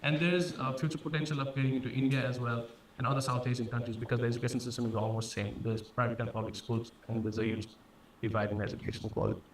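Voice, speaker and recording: A man with a Nepali accent speaks as a startup founder presenting his pitch. His delivery is halting, with repeated and restarted phrases. The recording is echoey and a little distant, with faint noise behind him. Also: little pitch movement. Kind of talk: pitch presentation and answering questions.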